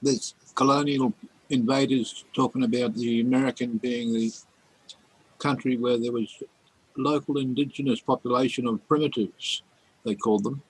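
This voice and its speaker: A man's voice: medium pitch, fairly even intonation, moderate pace, slightly rough, low-quality recording. A man speaking bluntly and critically in a casual group conversation. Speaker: group participant. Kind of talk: casual chat.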